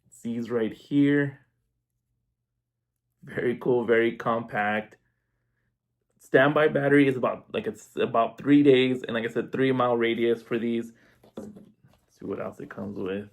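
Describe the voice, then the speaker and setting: Man, mid-pitched voice, casual product reviewer, informal step-by-step demonstration. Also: fairly even intonation, a little slow, long pauses. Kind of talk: casual unboxing review to camera. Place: indoors at home.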